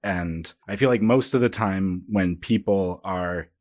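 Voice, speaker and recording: A man's voice: low-pitched, unhurried, a little mellow, no room sound, clean studio sound. A man co-hosting a podcast, talking casually and informally as he thinks aloud. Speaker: podcast co-host. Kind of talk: casual conversational discussion.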